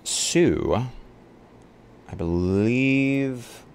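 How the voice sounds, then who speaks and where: A man's voice: medium pitch, lively intonation, drawn-out words, a little mellow, a little hiss or hum. A man chatting casually with stream viewers and a co-host in an easygoing, informal, joking way. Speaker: live-stream roleplay player.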